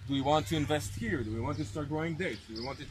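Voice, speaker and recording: A man's voice: fairly high pitch, very fast. A man working as a tour guide, explaining informally step by step with rhetorical questions, in English shaped by American and South African parents. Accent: English with Anglo influence from American and South African parents. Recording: clear outdoor ambient noise.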